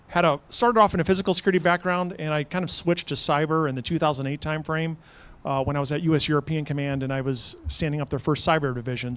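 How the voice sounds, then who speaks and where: Brisk, crisp-voiced man on a panel. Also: fairly high pitch. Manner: brisk, matter-of-fact. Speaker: conference panelist. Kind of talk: panel self-introduction. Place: conference hall stage.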